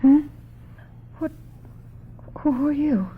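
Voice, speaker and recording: A distraught, haunted woman in a radio melodrama. She speaks slowly and haltingly in a slightly high voice that slips into whispers. The old recording is dull, with music under it. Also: lively intonation, heavily acted. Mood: dazed, confused. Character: distraught, guilt-ridden suicidal woman, fragile and dazed. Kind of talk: old-time radio mystery acting.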